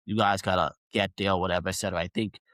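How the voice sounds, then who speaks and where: Young Bahamian man, guest storyteller, low-ish tone, dry close recording. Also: little pitch movement, rapid-fire, a bit bright, no room sound. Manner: earnest, long rambling sentences with casual fillers. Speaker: podcast guest, trader. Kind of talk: casual interview storytelling.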